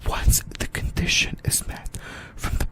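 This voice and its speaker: Breathy whisper, ASMR host, very slow pace, halting scripted reading, repeated and corrected phrases. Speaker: host of an anime ASMR channel.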